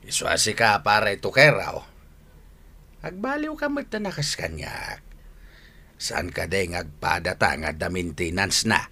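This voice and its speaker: Forceful, rueful old Ilocano father. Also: low voice, very animated, a little slow, slight background noise, exaggerated voice. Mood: earnest. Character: repentant old father who likes his drink, earnest and rueful. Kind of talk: radio drama acting.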